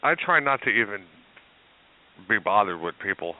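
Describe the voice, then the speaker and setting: Brief: deep-voiced guy with a New Jersey accent, chatty storyteller, heard over a tinny phone line. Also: normal speed. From Newark, New Jersey. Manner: animated storyteller, many restarts and laughs. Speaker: guest. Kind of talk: casual chat.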